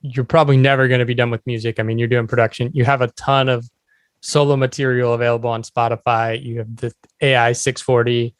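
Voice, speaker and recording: A man's voice: mid-range voice, flat delivery, fairly quick, no room sound. A man hosting a podcast, asking questions in a casual, conversational style. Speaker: podcast host and interviewer.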